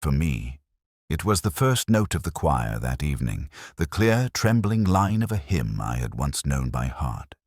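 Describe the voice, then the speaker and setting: A man playing a weary, melancholy detective, narrating a mystery in the first person in a measured, contemplative, literary way. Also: extremely low pitch, big pitch swings, a little mellow, dry recording, clean studio sound, exaggerated voice. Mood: wistful, solemn.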